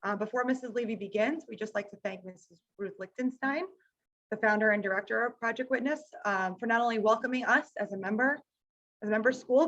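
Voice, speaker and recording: A woman's voice: mid-range voice, fairly bright tone, lo-fi sound. A woman introducing a guest speaker at a school event, thanking partners with frequent hesitations. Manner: hesitant, with many ums. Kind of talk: formal introduction.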